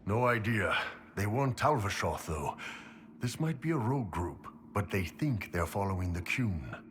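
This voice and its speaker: An actor playing a burly, laid-back but loyal mercenary warrior in a video game, with a casual, blunt way of talking. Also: male voice, fairly low pitch, sing-song, normal speed, a bit bright. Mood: matter-of-fact. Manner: casual, blunt, clipped phrasing. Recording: echoey hall, faint background noise.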